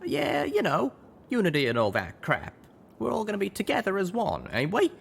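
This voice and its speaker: A man's voice: high voice, huge pitch swings, moderate pace, creaky, breathy edge, pitched above the performer's own voice, exaggerated voice. A man voicing a brash, foul-mouthed pony townswoman with a blunt, colloquial manner for a story reading. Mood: loud, sardonic. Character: brash, foul-mouthed adult mare townswoman, proud and rough.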